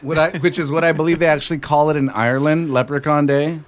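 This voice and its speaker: A man's voice: on the high side, fairly even intonation, fast. A man co-hosting a talk show in casual banter, wisecracking in a mock-serious way.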